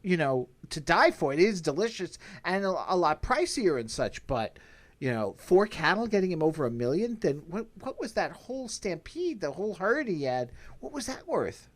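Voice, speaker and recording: An American man talks as a podcast co-host in a casual recap style. His pitch moves around a lot, giving the chat an animated shape. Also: moderate pace.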